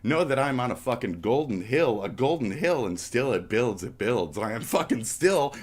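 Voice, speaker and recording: A man's voice: mid-range voice, expressive, moderate pace. A man hosting a live stream, stuffy from a snotty nose, in a profane, rambling talk that slides into half-rapped riffs. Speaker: streamer host. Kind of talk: casual live-stream rant.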